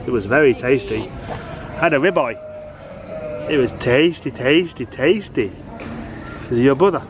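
A man's voice: mid-range voice, a little slow, background noise. A man in his thirties chatting casually and playfully as he vlogs, filming himself at arm's length. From United Kingdom. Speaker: vlogger. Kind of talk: casual vlog chat. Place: outdoors at a theme park zoo and in an open-sided wooden shelter. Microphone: handheld camera at arm's length. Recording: outdoor park, background music and crowd.

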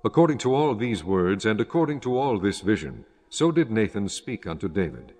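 Low-voiced male scripture narrator. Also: big pitch swings, darkish tone. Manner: formal, measured.

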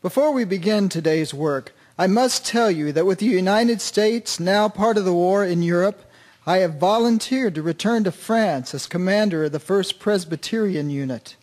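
A man's voice: high-pitched, normal speed, a little mellow. A man playing a courteous army surgeon and officer in a radio drama, talking formally. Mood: courteous, resolute.

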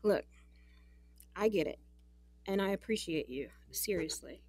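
A woman's voice: mid-range voice, lively intonation, a little slow, a little mellow, dry recording. An American actress playing an independent, guarded but grateful young woman in audio drama dialogue, casual and candid.

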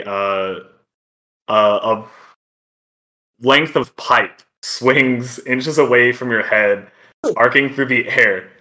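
A man improvising narration as game master, in halting, measured phrases. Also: medium pitch, sharp tone.

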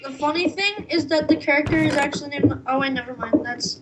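A woman playing along in a casual game video, whining and protesting excitedly. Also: sits high, a little fast. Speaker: co-player. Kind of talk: casual gaming chat. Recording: loud noise and clicking behind.

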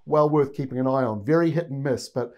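A man's voice: medium pitch, brisk, dark tone, highs cut off. A man in his forties with a New Zealand accent, giving a conversational weather forecast in a studio on a lapel mic. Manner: conversational, pointing at maps while explaining. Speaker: weather presenter. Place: studio in front of weather maps.

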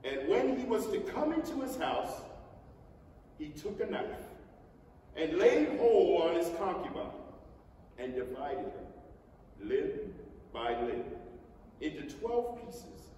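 Slow, echoing man reading scripture dramatically. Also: high voice, sing-song, mellow. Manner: slow, emphatic declamation. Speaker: stage reader. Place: on stage in a large indoor theatre. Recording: echoey theatre hall from far off, noisy.

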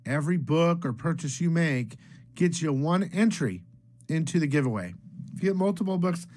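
A man's voice: fairly high pitch, lively intonation, moderate pace, a little mellow. A middle-aged man hosting a comic book video from a small room at home, talking casually and upbeat with loose restarts. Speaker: comic book channel host.

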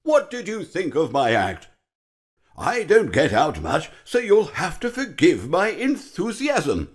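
A man voicing a husky showman elephant robot. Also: a little high, huge pitch swings, unhurried, heavily acted. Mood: showy. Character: theatrical male elephant animatronic, possessive.

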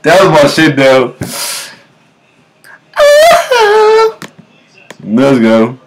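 A man in his thirties with a low bass voice, casually reacting to memes at home, laughing often and breaking into off-key singing. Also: wildly swooping pitch, very slow, background noise. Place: home kitchen, indoors. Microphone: webcam at close range.

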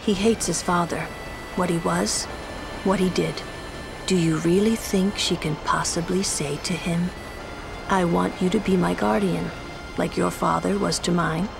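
An actress playing a stern, sardonic, protective young woman in a video game, speaking in a measured, dry, pointed way. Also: fairly low pitch, fairly even intonation, gravelly. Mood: grave, measured. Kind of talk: video game cutscene acting. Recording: music behind the voice.

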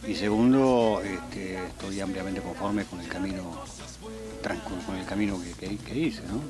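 Low-voiced Argentine man, joking guest. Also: fairly even intonation, moderate pace, loud music behind the voice. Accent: Argentine Spanish. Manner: joking, self-deprecating, acts out shouts from passersby. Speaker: weather presenter as guest. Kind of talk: casual interview. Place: TV studio set, on a couch. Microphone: handheld mic held by interviewer.

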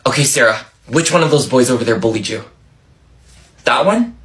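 A man's voice: mid-range voice, animated, moderate pace. A man performing an exaggerated comedy skit. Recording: loud noise, echoey room.

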